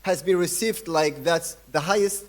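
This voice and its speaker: Young male keynote speaker from Sudan, slightly high voice, hesitant delivery full of fillers. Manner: halting, many fillers and restarts. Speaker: conference speaker. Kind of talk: conference keynote talk.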